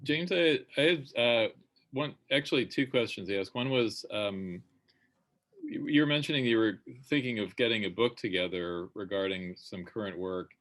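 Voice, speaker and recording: Low-voiced man, hesitant questioner. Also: normal speed, a bit bright. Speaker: audience member asking questions. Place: video call.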